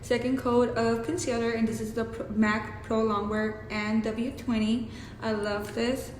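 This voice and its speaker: A young woman with a Mexican accent talks her way through a makeup tutorial, loose and profane, with chatty asides. Her voice sits a little high. The room is roomy with a long echo, and there is a steady hiss underneath. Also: unhurried. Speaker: beauty vlogger.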